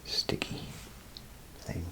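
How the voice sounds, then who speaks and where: A man doing a soft-spoken, half-whispered ASMR role play as a chatty, grumbling bathroom attendant, rambling and hesitant in a folksy way. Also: drawn-out words. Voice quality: gravelly, breathy half-whisper. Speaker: ASMR performer.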